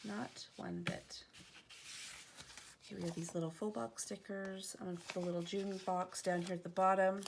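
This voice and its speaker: A woman's voice: medium pitch, fairly even intonation, normal speed, creaky, noisy background. A woman in her thirties, chatting casually and rambling while decorating her planner at home, a little stuffy from allergies. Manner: chatty, rambling, digresses often. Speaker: planner vlogger. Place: home, indoors.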